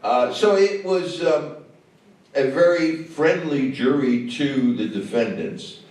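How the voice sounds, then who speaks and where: A man, a film director, answering questions on stage after a screening, talking in a halting way with many fillers and restarts. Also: on the high side, animated, darkish tone. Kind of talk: on-stage conversation. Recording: echoey hall, mic far off.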